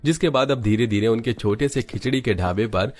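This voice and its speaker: A man's voice: mid-range voice, brisk, a little mellow. A man reading scripted narration for a cartoon story as its storyteller.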